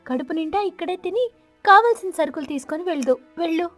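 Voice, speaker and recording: A woman voicing a friendly female parrot neighbour in an animated story, chatty and kindly. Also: very high voice, a little fast, dry recording, faint music behind, voice pushed up. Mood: sympathetic.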